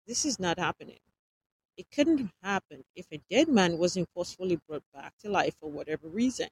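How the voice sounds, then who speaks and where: A woman's voice: lowish voice, expressive, a little fast, slightly rough, dry recording, poor audio. Woman reading as a harsh, bossy man. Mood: bitter. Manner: harsh and commanding. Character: menacing man taking charge, scolding.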